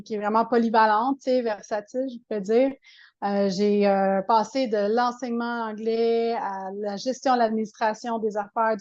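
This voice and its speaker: A woman's voice: fairly high pitch, a little mellow, dull audio. A woman with a Québécois French accent, a coach talking as an interview guest in a chatty way, full of fillers and restarts. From Quebec. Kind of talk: casual interview talk.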